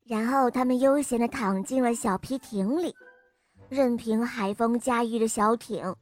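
A woman's voice: a little high, measured pace, darkish tone. A woman reading a scripted storybook tale for young children, expressively and addressed to little listeners. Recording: music under the voice. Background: music.

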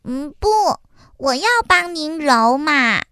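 A woman's voice: high voice, sharp tone, no room sound, clean studio sound, heavily acted. A woman voicing a spoiled but sweet little egg girl who whines and pleads in a childish way, in a storybook reading. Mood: earnest. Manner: pleading, drawn-out childish whining.

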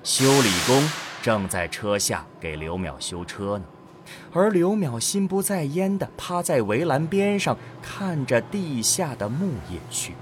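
A man with a husky voice narrating a scripted science-fiction audio drama. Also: mid-range voice, very animated, a little slow, background noise.

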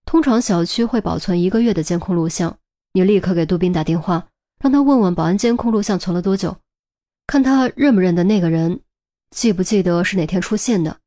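A woman's voice: fairly low pitch, brisk, warm tone, lightly acted. A woman voicing a firm senior policewoman in an audiobook, with an authoritative, no-nonsense manner. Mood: decisive. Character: firm, brisk senior policewoman, adult, exasperated mentor.

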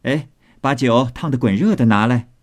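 A man voicing a brisk, bossy, teasing young lady of the house in a storybook, bantering and full of exclamations. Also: mid-range voice, natural voice.

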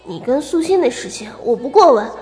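A woman's voice: high-pitched, big pitch swings, brisk, dark tone. A woman playing a proud, wilful young princess wounded in love in a Chinese historical audio drama. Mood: indignant. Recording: long reverb, faint music behind.

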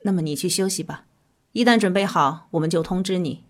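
An actress playing a composed research doctor in a science fiction radio drama, speaking in a calm, formal, matter-of-fact way. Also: female voice, on the low side, fairly quick, close to an everyday voice. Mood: composed, solemn. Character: composed female research doctor, frank and clinical. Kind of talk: Chinese sci-fi radio drama acting.

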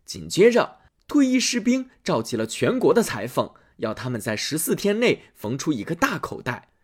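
A man reading a fairy tale aloud as a storyteller, in a lively, expressive way. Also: fairly high pitch, moderate pace, husky.

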